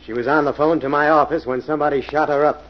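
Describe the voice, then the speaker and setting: An actor playing a plainspoken, reasonable police inspector in a 1940s radio mystery, with a businesslike way of talking. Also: male voice, on the high side, fairly even intonation, fast talker, natural voice. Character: gruff but reasonable male police inspector. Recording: muffled old radio recording.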